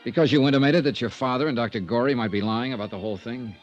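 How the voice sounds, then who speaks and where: A man playing a sharp, persistent private investigator in a 1950s radio drama, with clipped questions and dry narration. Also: mid-range voice, fast talker, lightly acted. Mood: pressing. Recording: dull vintage radio recording with music.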